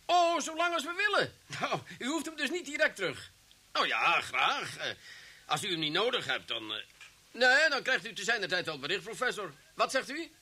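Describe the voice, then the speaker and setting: An actor playing an impatient, blustery police commissioner in an old radio drama. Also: male voice, high voice, big pitch swings, moderate pace, bright, forceful tone, slightly rough, exaggerated voice. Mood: flustered, apologetic.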